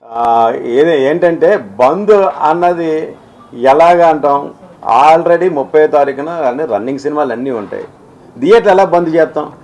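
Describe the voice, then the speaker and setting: A man making an unscripted statement to the press, halting and repeating himself, emphatic and argumentative. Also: a little high, a little mellow. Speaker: film exhibitor speaking on industry dispute.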